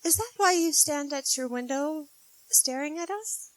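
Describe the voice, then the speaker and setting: A woman's voice: high voice, lively intonation, unhurried, no echo, low-quality recording. A woman playing a frank, spirited young writer. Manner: eager, chattering, frank, giggly. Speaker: radio play actor and narrator. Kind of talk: 1940s radio acting.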